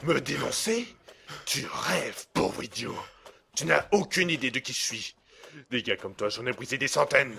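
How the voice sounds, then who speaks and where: A man playing a cold, menacing blackmailer in an audio drama, speaking in a smooth, condescending and formal way. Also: mid-range voice, animated, moderate pace, bright, forceful tone. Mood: menacing, contemptuous. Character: cold, menacing male blackmailer and hacker, smugly in control. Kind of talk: amateur audio drama acting.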